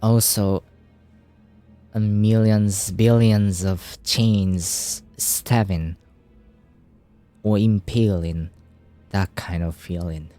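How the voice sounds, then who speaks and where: Guy streaming a game, reads and translates the text out loud, slow and unhurried, faint music under him. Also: lowish voice, fairly even intonation. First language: Japanese. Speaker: gaming streamer. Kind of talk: casual game playthrough commentary.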